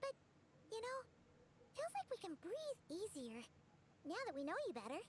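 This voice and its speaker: Game sidekick, female, tiny and childlike, very high-pitched, lively swooping delivery, blunt, talks about herself in third person. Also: normal speed, slight background noise, heavily acted. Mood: perky, prying. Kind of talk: video game dialogue.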